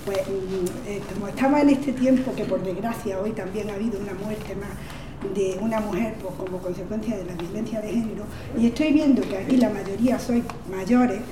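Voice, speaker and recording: A woman from Spain reciting poems at a community reading, hesitant in her introductions and slow and declaiming in the verses. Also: medium pitch, echoey room, distant mic, noisy background. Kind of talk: poetry recitation with spoken introductions.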